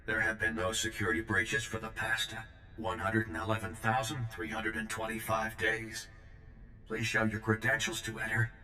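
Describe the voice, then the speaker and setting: An actor playing an ancient, formal, literal-minded security droid in a video game, with robotic processing on the voice. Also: male voice, on the low side, normal speed, roomy sound, soft background music. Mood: formal, flat. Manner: formal, procedural, flat delivery. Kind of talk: game character dialogue.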